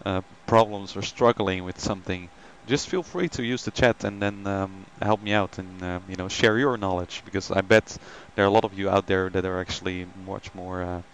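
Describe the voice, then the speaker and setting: Male streamer, lowish voice, chatty and loose with fillers, dull lo-fi mic. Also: steady tone, moderate pace, few pauses, slight background noise. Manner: chatty, greets viewers by name, many ums. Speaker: flight sim live streamer. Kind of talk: casual live stream chat.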